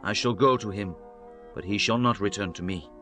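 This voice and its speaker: A grave biblical king and father played by a man declaims in a bright, forceful voice. The dramatized scripture acting has music beneath it. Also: fairly low pitch, normal speed. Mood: grieving.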